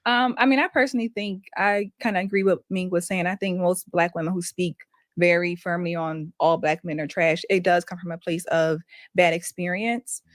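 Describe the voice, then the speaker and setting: Calm reflective woman panelist. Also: lowish voice, moderate pace, no room sound, studio quality. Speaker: panel guest. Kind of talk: casual group discussion.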